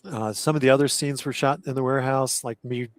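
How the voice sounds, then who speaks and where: An older man recalls his acting days in a casual podcast chat, rambling and correcting himself mid-sentence and laughing between thoughts. His voice sits at a middle pitch, and the sound is close and dry with almost no room in it. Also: steady tone, brisk, no echo. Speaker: actor guest.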